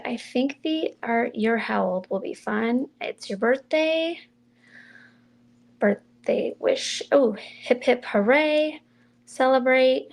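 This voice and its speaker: A woman with a slightly high, gravelly voice talks slowly and mildly as she demonstrates card-making on a stream. She thinks aloud in a casual, chatty way as she works. Faint clicks from her tools can be heard behind her. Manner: chatty, mild and soft-spoken, thinking aloud while working. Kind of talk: casual crafting livestream chat.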